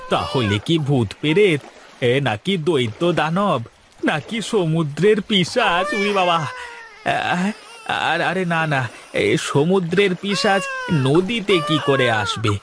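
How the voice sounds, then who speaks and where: A man's voice: fairly high pitch, animated, slow pace, background noise. A man playing a lonely, superstitious poor boatman in a melodramatic, exclamatory cartoon style, often repeating himself. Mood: startled, fearful.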